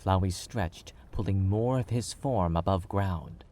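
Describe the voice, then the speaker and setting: A man narrating a story in a calm, measured read. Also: low-pitched, very noisy.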